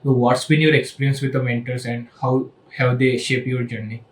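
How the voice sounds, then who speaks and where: Young guy hosting a podcast, quiet hesitant questions, lots of uh and like, echoey room. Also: mid-range voice, flat delivery, normal speed, slight background noise. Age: 20s. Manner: soft-spoken, hesitant questions with fillers. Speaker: podcast host. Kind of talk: podcast interview. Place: indoor studio room with shelves. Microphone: desk podcast microphone.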